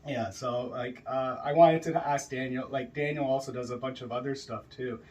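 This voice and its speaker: A man's voice: medium pitch, steady tone, moderate pace, recorded from a distance. A man hosting a casual podcast interview at a friend's home, chatty and joking, with lots of fillers. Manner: loud, jokey, filler-heavy with many yeahs. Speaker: podcast host.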